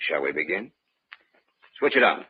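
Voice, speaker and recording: An actor playing an obsessed, coolly confident doctor of science in old-time radio drama, formal and authoritative. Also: male voice, rumbling low, very animated, moderate pace. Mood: brisk, assured. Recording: muffled, noisy old-time radio recording.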